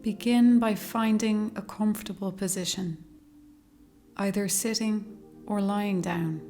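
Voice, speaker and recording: A woman leading a guided meditation, speaking slowly and calmly in a soothing, measured way with long pauses. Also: mid-range voice. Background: meditation music with singing bowls.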